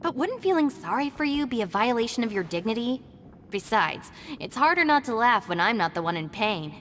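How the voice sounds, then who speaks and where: A woman's voice: a little high, sing-song, fairly quick, a bit bright, big-room sound, a little hiss or hum. A woman playing a dry, sensible young deputy in a video game, wry and matter-of-fact as she teases her boss. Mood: teasing.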